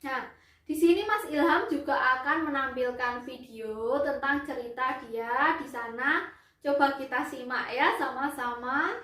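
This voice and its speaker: A woman's voice: high voice, a little slow, bright, forceful tone, reverberant, distant mic. A woman teacher explaining a lesson, often checking in with listeners. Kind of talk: classroom lesson.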